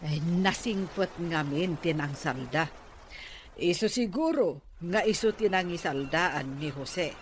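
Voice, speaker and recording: A woman's voice: fairly low pitch, animated, takes it slowly. A woman with a Filipino accent playing an opinionated village neighbour in a conversational audio drama. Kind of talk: conversational Ilocano radio drama acting. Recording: faint background noise.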